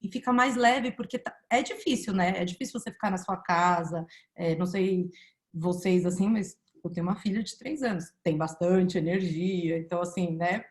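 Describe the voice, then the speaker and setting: A woman's voice: fairly low pitch, moderate pace. A woman with a Brazilian accent moderating a panel discussion over a video call, talking conversationally with many fillers. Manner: conversational, many fillers, thinks aloud while asking.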